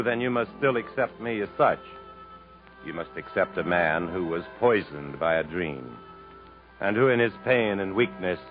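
An actor playing a bitter, despairing English gentleman drifter, brooding and theatrical, in a 1950s radio drama. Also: fairly low pitch, lightly acted. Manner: brooding, theatrical, world-weary. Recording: narrow-band 1950s radio recording.

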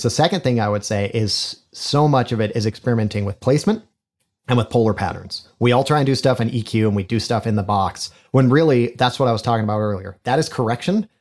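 A man's voice: mid-range voice, a little mellow. Nasal honky guy chatting on a podcast, explains stuff, pokes fun at himself. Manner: chatty, explaining, self-deprecating. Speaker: podcast guest, microphone designer. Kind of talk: casual interview chat. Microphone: studio condenser mic in figure-eight pattern.

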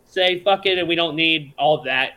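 A man's voice: fairly high pitch, normal speed, dull audio. A man who is a songwriter and performer, chatting casually as a podcast guest, laid-back, swearing freely and laughing often.

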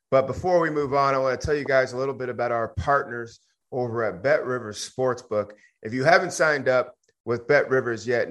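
Man, sports podcast host, medium pitch, upbeat, casual, ad reads. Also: fairly bright tone. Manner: upbeat, moves the show along, reads sponsor ad. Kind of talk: casual sports talk and ad read.

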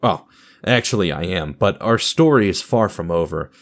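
A man's voice: deep voice, animated, moderate pace. A man narrating an audio drama in a theatrical, wry style, confiding in the listener as a storyteller. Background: guitar music.

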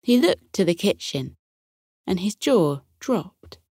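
A woman narrating a children's bedtime story in a gentle, expressive storytelling manner. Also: mid-range voice, takes it slowly, breathy edge, dry recording, clean studio sound.

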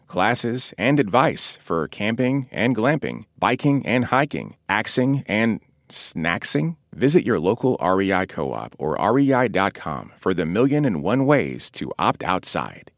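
A man delivers a scripted sponsor read. His voice sits on the low side with lots of melodic movement, in a very dry recording. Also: sing-song, a little slow, dry recording. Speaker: sponsor announcer.

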